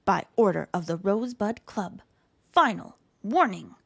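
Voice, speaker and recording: A woman's voice: medium pitch, expressive, unhurried, gravelly, breathy edge, dry recording, pitched below the performer's own voice, full character voice. A stern, fussy official voice put on by a woman issues warnings in a storybook. The phrases are clipped and full of disapproval. The sound is dry and close. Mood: stern, scandalized. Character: stern, forbidding official notices from a fussy club.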